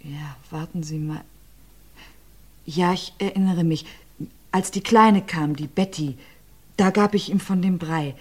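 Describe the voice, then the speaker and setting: Tired widow in a radio crime play, very low voice, halting, drops almost to a whisper. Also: female voice, darkish tone, husky. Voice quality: low, subdued, often drops to a near whisper. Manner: hesitant, halting answers. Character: abused widow questioned about her husband's death. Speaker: widow under questioning.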